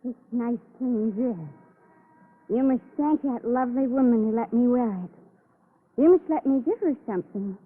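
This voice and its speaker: An actress playing a dazed, drunk woman suspect in an old radio crime drama, giggly, halting and coy. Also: on the high side, close to an everyday voice. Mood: giddy, pleading. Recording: tinny, band-limited old radio recording.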